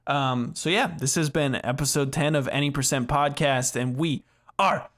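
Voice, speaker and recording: A man's voice: medium pitch, normal speed, a bit bright. A man hosting a casual gaming podcast, chatting in a jokey, loose way. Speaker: podcast host. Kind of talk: casual podcast chat about video games.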